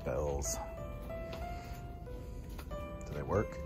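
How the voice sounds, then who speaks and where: A man's voice: extremely low pitch, extremely slow. A man filming a casual walking tour of a store, upbeat and chuckling between remarks. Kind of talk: casual chat while walking. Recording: music playing loud in the store.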